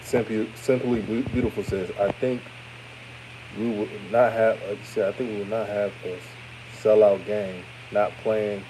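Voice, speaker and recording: A man in his thirties, from Houston, with a deep voice that sounds older, giving casual hot takes and reading chat comments on a live stream. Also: measured pace, slight background noise, poor audio. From Houston, Texas. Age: 30s. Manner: relaxed, chatty, repeats himself, trails off. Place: his room after a game.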